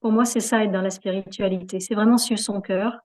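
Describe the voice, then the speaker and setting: A woman's voice: medium pitch, fairly even intonation, a little fast, a little mellow. A woman being interviewed in a reflective, conversational way, hesitating often as she searches for her words. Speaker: interview guest, yoga practitioner.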